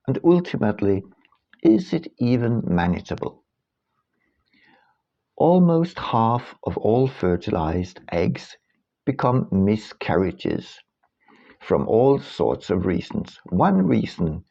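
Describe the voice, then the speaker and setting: Male author-narrator, lowish and mellow, deliberate and emphatic with dramatic pauses, reading scripted prose on a slightly muffled lo-fi recording. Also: vocal fry. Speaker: author reading their own book.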